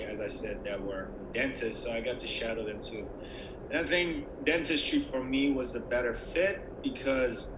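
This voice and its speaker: In an echoey, noisy recording, a man talks through an informal presentation as a dentist. His voice sits at a middle pitch, and he explains things conversationally, pausing hesitantly as he goes. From born and raised in Iran until age ten, then the United States. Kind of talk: informal online presentation to students. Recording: echoey room with clear background noise.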